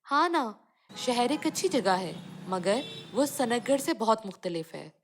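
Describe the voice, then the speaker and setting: A woman's voice: on the high side, animated, a little slow, a little hiss or hum. A woman voicing a loyal village big sister in a children's cartoon story, explaining things to younger children. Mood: proud.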